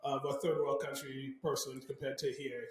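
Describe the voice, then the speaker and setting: A man from Haiti, a guest chef, talking in relaxed casual chat. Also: mid-range voice, normal speed, crowd noise. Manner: relaxed, laid-back, frequent "you know". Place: bar under construction. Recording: very echoey room, away from mic.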